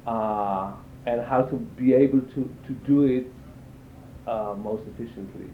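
An older man from Israel giving reflective interview answers, haltingly, repeating phrases as he searches for words. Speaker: interviewee, cello teacher and performer. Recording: echoey, tinny band-limited sound with faint rumble.

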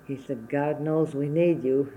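Older lady from wisconsin, czech was her first language, soft warm voice, takes her time telling old stories. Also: low-pitched, fairly even intonation, dull audio. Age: 70s. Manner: reminiscing, unhurried, plainspoken. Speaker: interviewee. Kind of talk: oral history interview.

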